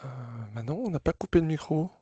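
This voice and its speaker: A man's voice: on the high side, animated, fast talker, mellow, breathy edge, no echo, lo-fi sound. A man hosting a video call for his association, briefing members in a casual, hesitant way with many fillers and restarts. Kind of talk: casual spoken briefing, moderating questions.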